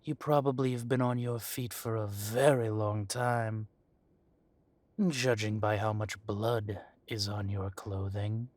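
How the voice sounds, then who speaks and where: A man acts out an arrogant dragon crime boss with a low voice and very slow, deliberate delivery. He sounds civil on the surface and threatening underneath, like a villain in an audio drama, recorded close and dry. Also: steady tone, a little mellow, no echo. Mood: smug, casual. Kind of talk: scripted roleplay audio drama monologue.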